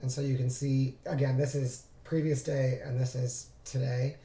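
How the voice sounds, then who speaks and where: A man with a raspy, hoarse voice recovering from laryngitis, giving an informal, halting screen-share tutorial as a trading instructor. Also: medium pitch, little pitch movement, warm tone. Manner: halting, thinking aloud. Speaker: trading instructor reviewing charts. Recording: roomy, echoing voice recording.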